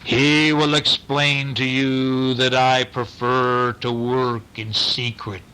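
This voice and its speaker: A man voicing a blue moose, a grave and dignified animal detective who speaks in a slow, deliberate, formal way for a bedtime story. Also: medium pitch, level tone, sharp tone, clear, resonant tone, low-quality recording. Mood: grave, measured.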